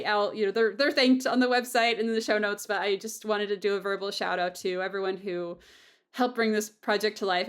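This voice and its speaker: A woman hosting a casual, heartfelt podcast conversation, effusive and grateful in manner. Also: mid-range voice. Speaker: podcast host and audio drama creator.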